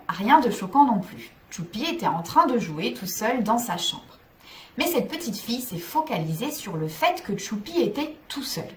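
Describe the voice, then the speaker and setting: A woman with a fairly high voice and very lively intonation delivers scripted advice to parents. She is didactic and structured, explaining each point like a psychologist speaking directly to her listeners.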